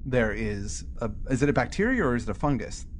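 A man's voice: mid-range voice, sing-song, brisk, a little hiss or hum. A man co-hosting a podcast in casual, conversational discussion. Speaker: podcast co-host. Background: faint background music.

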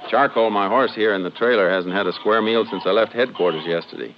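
An actor playing a calm, methodical Texas Ranger in a 1950s radio crime drama, speaking tersely and matter-of-factly. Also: male voice, fairly low pitch, fairly quick, close to an everyday voice. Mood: businesslike. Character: calm, methodical Texas Ranger lawman narrating his case. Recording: noisy, muffled 1950s radio recording.

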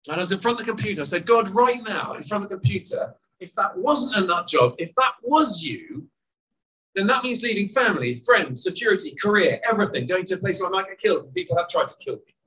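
A man in his forties preaching a lively, conversational sermon, full of rhetorical questions, at an outdoor service in a car park. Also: high voice, poor audio. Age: 40s.

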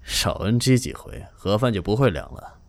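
A man playing a reserved, commanding boss in an audio drama, terse and cool but quietly caring. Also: lowish voice, lively intonation, normal speed, slight background noise, lightly acted. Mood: cool, curt. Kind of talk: Chinese audio drama acting.

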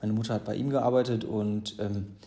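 A man's voice: fairly low pitch, little pitch movement, normal speed, creaky, dark tone. A man in his thirties from the Ruhr area, telling his own life story in a loose, chatty way with many fillers and restarts. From Ruhr area, Germany. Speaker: interview guest. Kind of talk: casual personal storytelling. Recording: echoey room.

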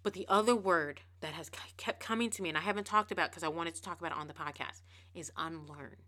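A woman hosting a podcast, giving a casual motivational monologue. She talks fast and emphatically, repeating words and restarting phrases. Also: on the low side, sharp tone. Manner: emphatic, preachy. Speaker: podcast host.

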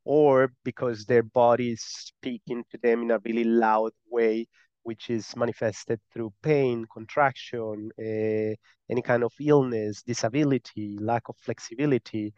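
A man's voice: medium pitch, fairly even intonation, a little slow, a little mellow, clean tone, no echo, dull audio. A man with a strong Spanish accent and Spanish as his first language, explaining earnestly as an interview guest, often repeating words as he goes. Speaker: guest, breathwork and somatic practitioner.

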